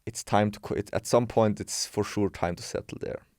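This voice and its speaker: A man's voice: lowish voice, steady tone, normal speed, darkish tone, no room sound, clean studio sound. A man, a coach giving frank, opinionated answers in a casual interview, thinking aloud as he goes. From Switzerland. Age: 20s. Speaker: guest, bodybuilding and health coach.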